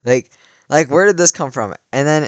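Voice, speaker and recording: A man's voice: a little high, moderate pace, no room sound. A young man in his late teens tells a story in a rambling, casual way, full of "like" and restarts. His voice is a little worn from cheering. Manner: rambling, many "like" fillers and restarts. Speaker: vlogger.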